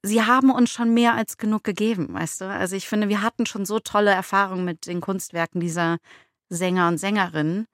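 A woman's voice: medium pitch, steady tone, fairly quick, no room sound, studio quality. A woman co-hosting a podcast, debating in a casual but firm and insistent way. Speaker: podcast co-host and radio play author.

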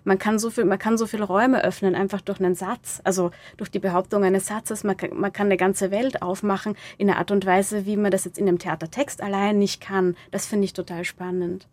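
A woman's voice: mid-range voice, level tone, brisk, few pauses, darkish tone. A woman with a middle-range voice talks reflectively as a writer in an interview. Her sentences run on loosely, and she rewords thoughts while working them out in a casual way. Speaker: playwright interviewed about her radio play.

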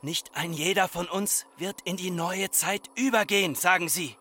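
An actor playing a farmer's embittered grown son, speaking in reproachful, incantatory repetitions in a literary radio drama. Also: male voice, high voice, moderate pace, bright, forceful tone. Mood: accusing.

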